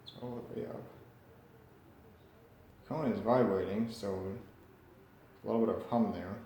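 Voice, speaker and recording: A man's voice: on the low side, measured pace, lo-fi sound. A man casually narrating a hands-on repair, tentative and thinking aloud as he works. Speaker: hobbyist radio repairer presenting. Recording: roomy echo with faint background noise.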